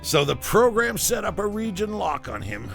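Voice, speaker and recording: A man playing a wry, foul-mouthed former butcher and rebel, telling his story conversationally and theatrically in an audio drama, with a low, gravelly voice. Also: big pitch swings, normal speed, fairly bright tone, faint music behind, full character voice. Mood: sardonic, amused.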